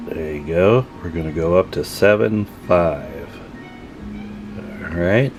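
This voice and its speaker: A man with a low voice talks through a repair job step by step. His delivery is informal and folksy, like someone explaining while his hands are busy. Faint music sits behind him. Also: takes it slowly, a little mellow, lo-fi sound. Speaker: radio repair tutorial host. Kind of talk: casual hands-on tutorial narration.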